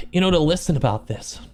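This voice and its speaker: A man speaking off the cuff in a personal vlog, upset and close to crying, halting and repeating himself earnestly. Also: a little high, lively intonation, darkish tone. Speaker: streamer and video creator. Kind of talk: unscripted personal vlog monologue.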